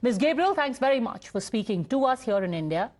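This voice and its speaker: A woman news anchor interviewing a guest on television, asking questions in a measured, formal way. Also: a little high, very animated, a little mellow.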